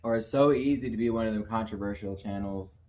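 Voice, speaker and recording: A man with a fairly low voice chatting loosely on a podcast, swearing freely and getting animated as he goes. He laughs easily between his points. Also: fast talker. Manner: profane, animated, laughs often. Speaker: podcast co-host. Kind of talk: casual podcast chat. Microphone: camera facing the hosts.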